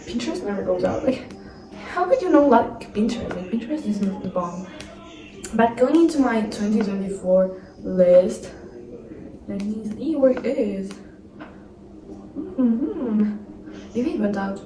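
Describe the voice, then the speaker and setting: A woman's voice: medium pitch, echoey room, far from the mic, noisy background. A young woman vlogging, chatting and gushing, full of fillers, with a non-native accent. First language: not English. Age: young adult. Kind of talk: casual chat.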